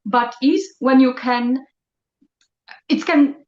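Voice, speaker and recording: A woman who learned English later shares her thoughts in a group call, halting and reflective as she searches for words. Her voice sits fairly high. The call audio is echoey and dull. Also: darkish tone. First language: not English. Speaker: session participant.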